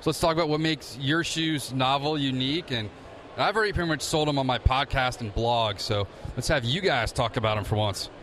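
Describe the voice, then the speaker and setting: A man's voice: mid-range voice, fairly quick, a bit bright, slight background noise. A man hosting casual interviews for his podcast, asking friendly, chatty questions.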